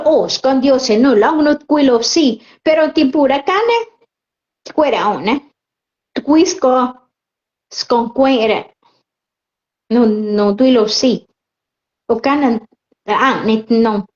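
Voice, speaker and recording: Slow, earnest Chatino-speaking woman preacher. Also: sits high, a little mellow. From Oaxaca, Mexico. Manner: unhurried, earnest, chats with viewers between preaching. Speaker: lay preacher live-streaming. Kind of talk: live-stream Bible reflection reading viewer comments. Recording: muffled live-stream audio.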